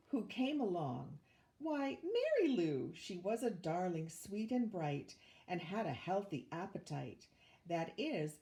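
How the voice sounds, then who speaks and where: An older woman reading a rhyming children's picture book aloud with measured, expressive storytelling. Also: mid-range voice, creaky. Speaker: volunteer storybook reader, retired teacher.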